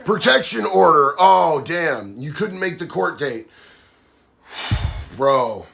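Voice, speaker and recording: A man's voice: a little high, lively intonation, slow pace, vocal fry, fairly bright tone. Male streamer, nasal with a sinus infection, blunt and heated delivery. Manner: blunt, heated, says bro a lot. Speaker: livestream host. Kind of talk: casual live chat reading comments.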